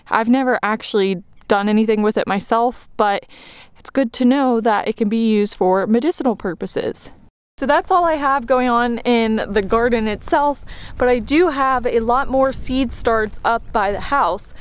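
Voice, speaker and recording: A woman's voice: fairly high pitch, smooth, no echo. A woman vlogging casually as she walks through her home garden and explains her plantings. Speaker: homesteading vlogger. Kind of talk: casual garden tour vlog. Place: outdoors in a backyard garden.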